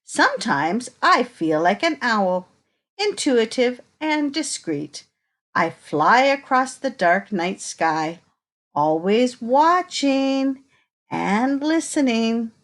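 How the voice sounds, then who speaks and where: A woman reads a picture book aloud to children at a slow, measured pace. Her pitch moves up and down in a lively way, and she turns to her young listeners with inviting questions in the manner of a teacher. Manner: expressive, unhurried, asks inviting questions.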